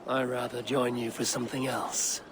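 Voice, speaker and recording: An actor playing a stealthy, smooth-talking adventurer in a video game, with a measured, understated manner. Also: male voice, mid-range voice, level tone, lightly acted. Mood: smooth, coaxing. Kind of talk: video game dialogue acting. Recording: loud background ambient noise.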